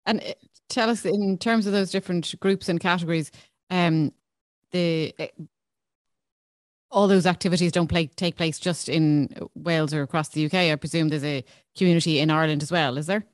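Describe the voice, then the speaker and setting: A woman's voice: fairly low pitch, fairly even intonation, normal speed, dry recording, studio quality. A woman hosting a podcast, introducing her guest and asking questions in a friendly, slightly hesitant way. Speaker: podcast host. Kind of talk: podcast interview.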